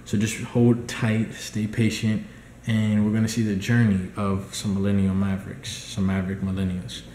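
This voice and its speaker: A man's voice: on the low side, moderate pace, roomy sound, slight background noise. A young American man, a dietitian, giving a casual rambling vlog update from a small room at home, recorded close on a camera mic. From United States. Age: young adult. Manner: relaxed, rambling, many ums. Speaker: dietitian vlogger. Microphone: laptop or phone camera at close range.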